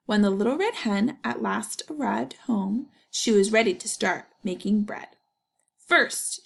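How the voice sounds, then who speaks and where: A woman with a noticeably creaky voice at a middle pitch reads a picture book aloud. She narrates for children and breaks off to ask them what they notice and think. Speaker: storyteller reading to children. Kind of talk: read-aloud narration with questions to young listeners.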